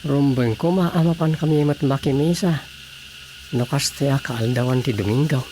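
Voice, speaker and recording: A man's voice: medium pitch, normal speed, warm tone, slight background noise, natural voice. A man acting as an easygoing village councilman in a radio drama comedy, muttering with hesitant interjections. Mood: musing. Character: male village councilman, casual, muttering, easygoing local official.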